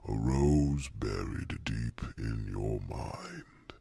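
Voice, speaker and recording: An ancient druid, with an extremely low voice full of rasp and breath. He speaks very slowly and deliberately, a wise and wry fantasy mentor addressing royalty with theatrical pauses. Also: dark tone, no echo, full character voice. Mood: wry, gentle, hushed. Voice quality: very deep gravelly rasp, breathy. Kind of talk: ASMR fantasy roleplay acting.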